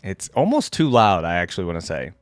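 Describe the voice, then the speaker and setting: A man's voice: deep voice, wildly swooping pitch, fast, no room sound. A man hosting a reaction video, giving casual, conversational commentary and thinking aloud. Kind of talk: casual commentary on game voice lines.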